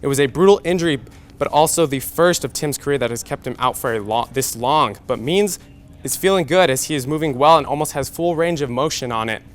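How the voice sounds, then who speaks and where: A man's voice: mid-range voice, a little fast. A young man anchoring a scripted TV sports newscast. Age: young adult.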